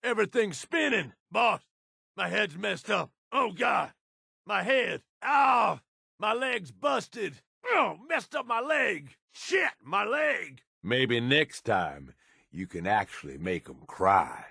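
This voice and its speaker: A man voicing a cynical, ruthless raider henchman in a video game, with a rough husky voice and a folksy, slangy, sardonic drawl. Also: high voice, big pitch swings, takes it slowly, sharp tone, dry recording, clean studio sound, heavily acted. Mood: pained, shouting, then approving, gleeful. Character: gruff cynical ruthless male raider henchman in a post-apocalyptic wasteland.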